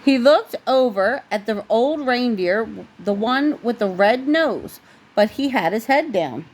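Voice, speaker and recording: A woman with a slightly high voice reads a children's bedtime story aloud. She tells it expressively, like a parent at home, and drops into informal asides along the way. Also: expressive, a little slow.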